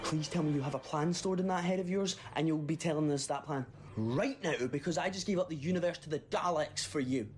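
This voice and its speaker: A brisk time-travelling heroine, played by a woman, speaks in a lowish, wry and emphatic voice. This is sci-fi audio drama over prominent music. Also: a little mellow, lightly acted. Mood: exasperated.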